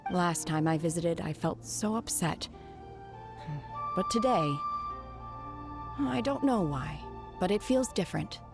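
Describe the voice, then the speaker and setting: A detached young woman from a fantasy game. Her voice sits a little low and stays calm and quiet. She speaks in measured, reflective phrases. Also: music in the background. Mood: wistful. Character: aloof, lonely young woman exorcist. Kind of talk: game voice acting.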